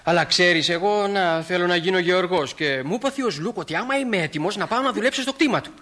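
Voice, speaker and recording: A man's voice: high voice, bright, forceful tone. A man playing an awkward, enthusiastic sixteen-year-old boy in a Greek radio play, hesitant and eager. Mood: eager, flustered.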